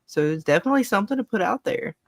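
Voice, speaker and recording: A woman hosts a live call-in panel and talks with enthusiasm, rambling a bit and giggling along the way. Her pitch sits in the middle of the range, and the sound is dry and close with no room echo. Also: animated, very fast, vocal fry. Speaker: show host. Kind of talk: casual live panel chat.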